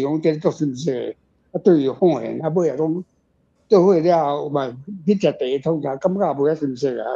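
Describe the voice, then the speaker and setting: A Taiwanese man with a very mellow, dark voice explains things off the cuff as an author, repeating words and tagging on questions. The sound is dull and lo-fi, with music underneath. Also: a little high, slightly rough. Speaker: author answering readers' questions about a story. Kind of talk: casual spontaneous explanation.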